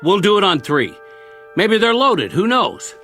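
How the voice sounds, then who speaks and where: An actor playing a weary, talkative survivor soldier in a radio drama, with a gravelly voice and a wry, folksy, rambling way of speaking. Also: male voice, high-pitched, very animated, unhurried, bright, forceful tone, soft background music, heavily acted. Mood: tense, daring. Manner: folksy, rambling, colloquial monologue delivery.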